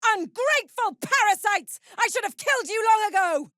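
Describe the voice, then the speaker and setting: A woman playing a cruel, haughty prison warden in a fantasy video game, menacing and condescending, with measured, deliberate delivery. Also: extremely high pitch, lively intonation, fairly bright tone, no room sound, clean studio sound. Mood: furious. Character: cruel, haughty female prison warden, zealous cult follower.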